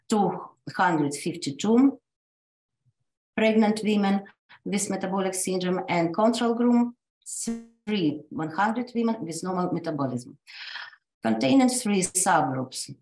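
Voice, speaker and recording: A woman's voice: medium pitch, expressive, a little mellow, reverberant, poor audio. Hesitant Russian-accented woman presenter. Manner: hesitant, halting delivery with frequent restarts. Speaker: conference speaker, medical professor. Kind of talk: reading an academic presentation.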